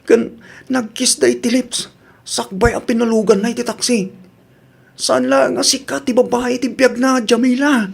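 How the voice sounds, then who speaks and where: A man's voice: very high-pitched, fairly even intonation, normal speed, creaky, slightly rough, exaggerated voice. A man acting in an Ilocano audio drama as a blunt young man, insistent and taunting as he talks. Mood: insistent, needling. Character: blunt, needling young man warning about a cheating boyfriend.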